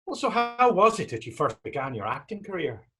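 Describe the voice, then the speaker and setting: A man's voice: sits high, big pitch swings, a little fast. A man playing a curious, sympathetic Irish companion with a poetic streak in a readers theatre drama, speaking in florid old-fashioned phrases with an Irish accent. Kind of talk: stage readers theatre acting in dialect.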